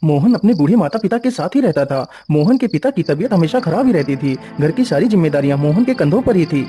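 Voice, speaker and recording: A man's voice: fairly high pitch, lively intonation, fast, dark tone, faint music behind. A man narrating a scripted cartoon story in a storytelling style.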